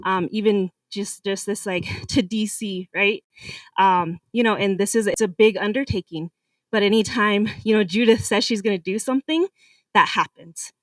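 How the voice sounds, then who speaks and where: A woman introduces the next speaker in a loose, halting way, with many pauses and fillers. She is recorded from a distance, and the sound is dry. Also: mid-range voice, dry recording. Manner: hesitant, lots of fillers. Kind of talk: informal introduction.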